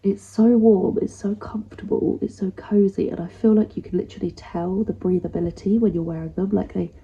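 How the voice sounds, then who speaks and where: A young woman with a blocked nose, chatting casually and rambling in a soft voice that often drops near a whisper. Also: mid-range voice, steady tone, fairly quick, breathy edge, lo-fi sound. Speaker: vlogger.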